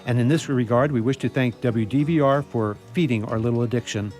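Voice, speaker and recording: A man's voice: medium pitch, a little fast, a little mellow. A man announcing a radio troupe's credits live in a formal, wry manner, with guitar music behind him. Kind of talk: scripted credits read live, with wry humour.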